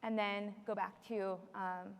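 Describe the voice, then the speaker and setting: A woman leading a live software tutorial as a trainer, explaining step by step to a room. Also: mid-range voice, little pitch movement, unhurried, vocal fry, clean tone. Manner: explanatory, repeats audience questions for the room. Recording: long echoey room reverb.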